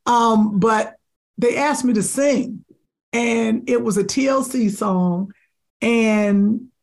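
A woman comedian with a deep, raspy voice, chatting casually as a podcast guest, animated and rambling. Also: slow pace.

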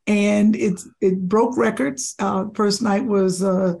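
Raspy-voiced lady comic on a podcast, chatty and all over the place, husky and gravelly. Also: slow. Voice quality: deep raspy voice. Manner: chatty, animated, rambling with fillers. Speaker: comedian guest. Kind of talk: casual podcast chat.

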